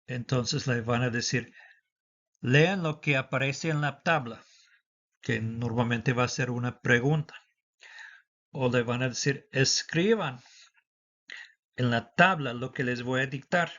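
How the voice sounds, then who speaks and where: A man's voice: medium pitch, a little slow, pauses often, a bit bright, highs cut off. A man teaching a lesson for the citizenship test, dictating patiently and switching between Spanish and English.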